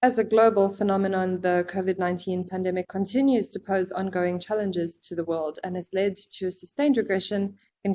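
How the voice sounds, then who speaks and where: A young adult woman hosting a panel discussion, speaking in a formal, measured way. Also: lowish voice, mellow. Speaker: host.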